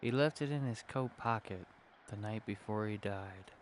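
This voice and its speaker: A man's voice: lowish voice, moderate pace, a little hiss or hum, close to an everyday voice. A man voicing a guarded, grieving widow in a noir audio drama, hesitant and subdued. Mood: guarded, sorrowful. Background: music.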